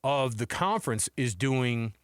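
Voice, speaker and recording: A man's voice: medium pitch, expressive, a little slow, smooth, dry recording. A man hosting sports talk radio in a casual, conversational way, talking into a close studio mic. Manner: conversational, says "man" and "dude". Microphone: close studio microphone.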